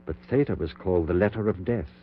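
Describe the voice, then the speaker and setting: An actor playing an obsessed middle-aged surgeon in a 1940s radio horror drama, giving intense, clipped, commanding orders. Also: male voice, low voice, fast, close to an everyday voice. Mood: confident, secretive. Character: obsessed middle-aged male surgeon reviving his dead wife. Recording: muffled, noisy, humming 1940s radio recording.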